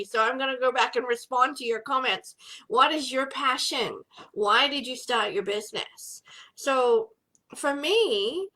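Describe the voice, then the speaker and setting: A woman's voice: high-pitched, pushed and bright, rough recording. A middle-aged woman hosting a casual live business chat from home, bubbly and greeting viewers by name.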